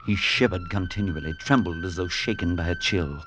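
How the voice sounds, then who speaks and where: An actor playing a calm priest who narrates a vintage radio drama in measured, grave tones. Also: male voice, deep voice, music in the background, natural voice. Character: calm middle-aged male priest narrating. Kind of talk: 1940s radio acting.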